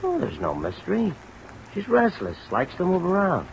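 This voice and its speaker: Guarded lowlife for vintage radio crime drama, slangy, widely swinging intonation, faint rain noise. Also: male voice, wildly swooping pitch, normal speed, creaky, exaggerated voice, poor audio. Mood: guarded, nosy. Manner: evasive, probing, slangy. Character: shifty, guarded small-town lobby tough, slangy. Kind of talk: old-time radio drama acting. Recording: old radio recording under rain noise.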